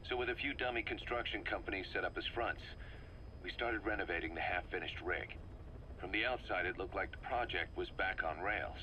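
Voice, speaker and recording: A man's voice: low-pitched, fairly quick, pushed and bright, a little hiss or hum, low-quality recording. An actor playing a bitter middle-aged mercenary commander in a video game, briefing his boss in a terse, intense way. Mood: assured, businesslike. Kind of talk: dramatic video game acting, expository briefing.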